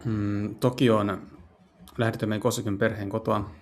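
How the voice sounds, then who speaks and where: A man's voice: fairly low pitch, flat delivery. A man hosting a gaming video, casually translating a visual novel aloud and searching for his words as he goes. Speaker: gaming channel host. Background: music.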